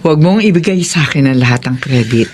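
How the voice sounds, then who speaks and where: A woman's voice: rumbling low, animated, fast talker, a little mellow, slight background noise. A woman with a Filipino accent playing a modest, gracious middle-aged woman in a radio drama.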